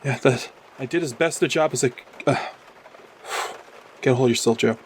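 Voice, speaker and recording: A man's voice: medium pitch, fairly quick, slight background noise. A man playing a tabletop game, improvising in-character talk in a casual, profane way.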